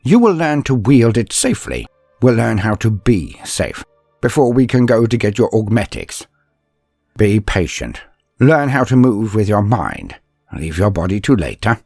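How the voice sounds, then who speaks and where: A man voicing a stern, proud old inquisitor mentor in an audio story, speaking in a measured, commanding, instructive way. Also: lowish voice, very animated, darkish tone, dry recording, soft background music, pitched above the performer's own voice, exaggerated voice. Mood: firm, patient.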